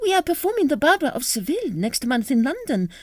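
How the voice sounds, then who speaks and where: A woman's voice: high-pitched, sing-song, quick pace, no echo, clean studio sound. A woman playing a gracious, theatrical opera singer in a period audio farce. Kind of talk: farcical period audio drama acting.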